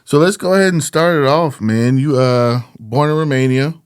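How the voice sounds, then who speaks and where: A man's voice: mid-range voice, moderate pace, clean tone, no echo, studio quality. A man from Florida hosting a podcast, asking questions in a friendly, casual way and often ending lines with "man". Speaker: podcast host. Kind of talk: casual interview chat.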